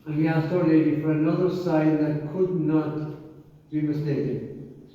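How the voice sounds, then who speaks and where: A man's voice: fairly high pitch, level tone, moderate pace. An older man with an Irish accent giving an informal talk to a group as a priest, telling stories with asides. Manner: conversational, storytelling with asides and quotes. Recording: echoey hall, distant mic, dull sound.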